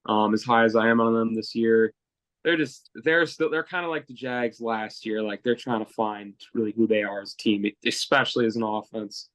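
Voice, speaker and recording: A man's voice: lowish voice, fairly even intonation, normal speed, a bit bright. A man co-hosting a casual sports podcast, easygoing with short remarks. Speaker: podcast co-host.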